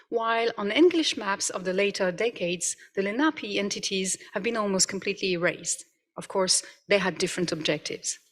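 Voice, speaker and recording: A woman's voice: mid-range voice, fairly bright tone. A woman, an English speaker, giving an academic conference talk, reading carefully with frequent "uh" fillers. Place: conference hall. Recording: reverberant hall.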